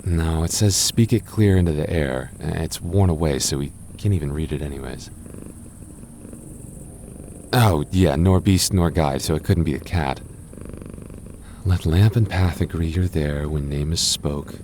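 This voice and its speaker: A soft-spoken young goth boyfriend, as a man plays him, deep and unhurried, who stammers a little while he reassures. The ASMR roleplay has a faint hiss under it. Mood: puzzling. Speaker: voice actor.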